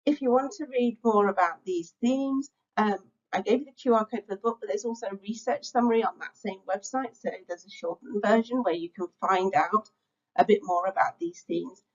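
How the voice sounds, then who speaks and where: A woman's voice: medium pitch, muffled recording. A middle-aged woman, giving an online lecture to teachers, reflective and conversational with frequent filler sounds. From England. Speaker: teacher-training speaker. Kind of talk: webinar lecture.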